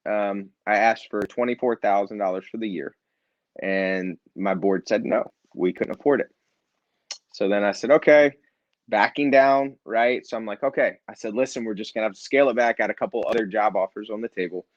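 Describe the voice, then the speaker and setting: Earnest low-voiced man telling a story. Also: brisk, dry recording, muffled recording. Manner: earnest, animated storyteller who acts out quoted dialogue. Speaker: nonprofit founder and CEO. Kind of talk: storytelling in a podcast interview.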